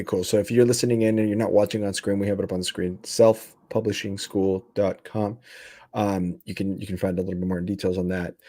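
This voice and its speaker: A man's voice: on the low side, no echo. Upbeat rambling male podcast host. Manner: upbeat, rambling, many fillers and restarts. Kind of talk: casual podcast chat with sponsor shout-outs.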